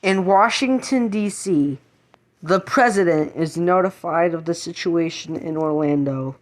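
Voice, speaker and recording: A woman's voice: on the low side, measured pace, low-quality recording. A woman narrating an amateur scripted drama.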